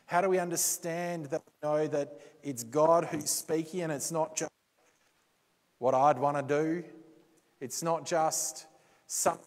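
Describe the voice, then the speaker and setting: A man preaching a sermon in a church, slow and deliberate, with dramatic pauses and quotes he acts out. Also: fairly high pitch, fairly even intonation. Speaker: pastor. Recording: reverberant church hall.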